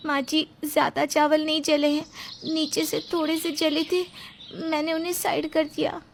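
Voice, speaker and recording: महिला द्वारा निभाई बहुत ऊँची आवाज़ में गिड़गिड़ाती सहमी बहू. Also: female voice, high-pitched, flat delivery, moderate pace, voice pushed up. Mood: pleading, distressed. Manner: pleading, submissive. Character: meek, pleading young daughter-in-law.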